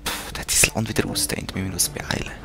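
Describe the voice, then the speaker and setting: A man's voice: quick pace, over music. A man with a Swiss German accent giving casual commentary while playing a strategy game, muttering to himself and talking to the units on screen. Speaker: let's play gamer.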